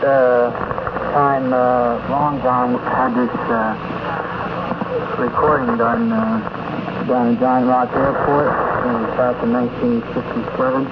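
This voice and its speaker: A man from New Jersey, a convention visitor, giving halting, plain-spoken interview answers. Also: medium pitch, little pitch movement. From New Jersey, United States. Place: outdoors at a farm convention. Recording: very noisy, dull 1950s outdoor recording.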